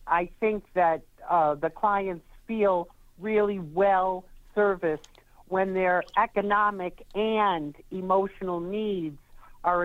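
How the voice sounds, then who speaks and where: A woman attorney joining a radio interview over a tinny, phone-like line with a dry sound. Her voice sits fairly low, and she speaks in a halting way, full of fillers and restarts. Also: dry recording. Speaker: attorney, guest.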